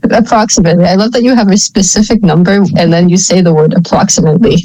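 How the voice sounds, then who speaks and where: A woman from Thailand co-hosting a casual podcast over a remote call, chatty and playful, prone to quick side tangents. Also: lowish voice, steady tone, mellow, slight background noise, rough recording. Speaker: podcast co-host. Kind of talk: casual chat.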